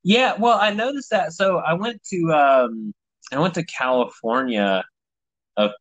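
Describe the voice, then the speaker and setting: A man's voice: fairly high pitch, unhurried, no room sound. A thirty-year-old man from Tennessee with a Southern US accent, chatting casually as a podcast host, wry and sweary, acting out what people say. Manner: wry, sweary, acts out quoted remarks. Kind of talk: casual comedic chat.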